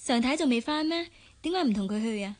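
High-voiced, coy young office woman. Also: expressive, fast. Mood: coyly probing. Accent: Hong Kong Cantonese. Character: young office woman, choosy, sweetly teasing with suitors. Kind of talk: radio drama acting.

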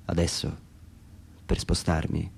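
A man reads his own literary monologue for radio theatre in a measured, reflective way, pausing between short phrases. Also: deep voice, monotone, creaky, darkish tone, slightly rough. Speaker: author reading own text.

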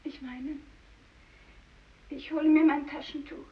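An actress playing a fearful young fisherman's wife in a German radio drama, speaking slowly in a hushed voice that drops toward whispers. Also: sits high, little pitch movement. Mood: evasive, tense. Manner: slow, hushed, dropping into whispers. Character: fearful young fisherman's wife rejecting her stricken husband. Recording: dull distant recording with hiss.

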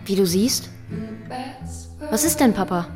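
An actress playing a blunt, unimpressed teenage daughter in a radio drama, curt and dismissive. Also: female voice, mid-range voice, animated, measured pace, over music, lightly acted. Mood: guarded.

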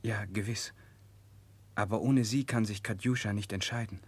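A man's voice: lowish voice, steady tone, moderate pace, slightly rough. A man playing a principled, protective political exile in a 1960s German radio drama, speaking formally and directly. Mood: resolute.

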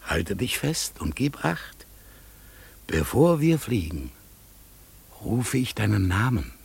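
An actor playing a solemn, mysterious talking raven in a radio play, with a husky, gravelly voice and a slow delivery. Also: male voice, on the low side, big pitch swings, heavily acted. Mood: solemn, tender. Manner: slow, solemn, sometimes calling out or whispering. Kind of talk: German radio play acting.

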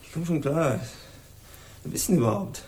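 A man's voice: on the high side, lively intonation, moderate pace, darkish tone, close to an everyday voice. An actor playing a battered young male drug user who is dazed and nauseous and gives subdued, terse, evasive replies in a radio drama. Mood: sullen.

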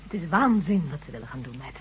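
A woman's voice: sits low, lively intonation, fast talker, slightly rough, exaggerated voice. Composed sensible lady doctor in a radio play, earnest, muffled old recording. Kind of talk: Dutch radio drama acting.

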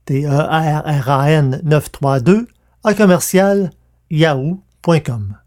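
Male podcast host, slightly high register, scripted announcements. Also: takes it slowly, a little mellow.

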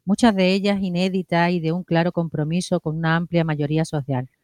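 A woman's voice: lowish voice, level tone, a little fast, a little mellow, dry recording. Woman presenting radio, dry close sound. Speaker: radio co-host. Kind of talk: radio presenting, introducing segments.

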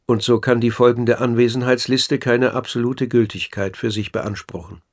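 A man's voice: mid-range voice, fairly even intonation, moderate pace. A man narrating a scripted radio documentary drama in a formal, probing manner, as narrator and interviewer.

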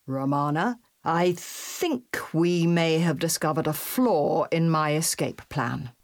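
A man's voice: fairly high pitch, slow pace. A reader voicing an eccentric male alien adventurer, indignant and exasperated, in a dramatised audiobook. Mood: indignant, blustering.

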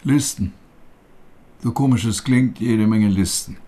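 A bereaved relative in a radio drama, terse and puzzled. His voice sits on the low side and stays matter-of-fact. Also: unhurried, mellow. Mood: puzzled, guarded.

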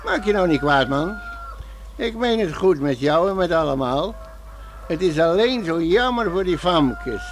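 An actor playing a concerned, earnest village schoolmaster in a Dutch radio play, pleading and regretful. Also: male voice, fairly high pitch, lively intonation, measured pace. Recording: noisy old radio play recording.

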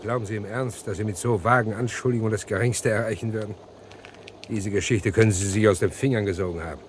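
An actor as a well-spoken, condemned gentleman in a radio crime play. His voice is on the low side. He explains himself formally and evasively, with hesitant turns of phrase. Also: fairly even intonation, fast talker, slight background noise, close to an everyday voice. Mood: defensive, indignant. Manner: formal, hesitant, self-justifying.

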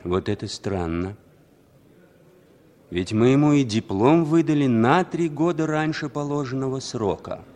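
A man's voice: medium pitch, animated, unhurried, a little mellow, slight background noise, natural voice. An actor playing a polite, guarded male foreign spy in a 1960s radio play, terse and questioning. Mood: businesslike. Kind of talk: 1960s radio drama acting.

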